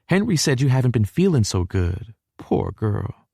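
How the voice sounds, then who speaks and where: A man voicing a warm, welcoming middle-aged Texan mother with a Southern US accent, in an audiobook. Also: mid-range voice, sing-song, normal speed, gravelly, slightly rough, no room sound, studio quality, pitched above the performer's own voice, exaggerated voice.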